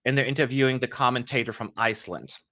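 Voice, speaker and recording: A man's voice: medium pitch, quick pace, sharp tone. A young man hosting a live stream, chatting casually with playful asides and jokes. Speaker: livestream host.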